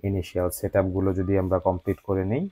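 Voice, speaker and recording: A man's voice: low voice, completely flat delivery, fast, mellow, dry recording. A man with a Bangladeshi accent narrating a scripted product unboxing review as the channel's host.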